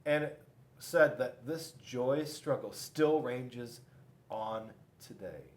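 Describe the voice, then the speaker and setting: A man reading a children's storybook aloud in an animated style, with dramatic emphasis and drawn-out words. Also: mid-range voice. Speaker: police school resource officer reading to children.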